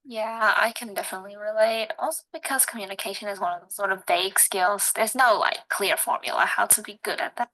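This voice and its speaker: A young woman with a quiet voice, talking casually about herself in a hesitant, slightly awkward way. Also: medium pitch, fairly even intonation, a little fast, hardly any pauses, poor audio. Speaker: student participant. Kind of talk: casual personal talk.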